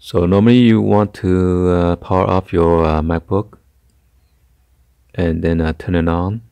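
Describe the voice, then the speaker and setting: Guy doing a casual how-to, deep creaky voice, kinda halting and hesitant as he explains steps. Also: steady tone, mellow, no room sound. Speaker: tutorial host. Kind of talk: casual hands-on tech tutorial. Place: carpeted room indoors.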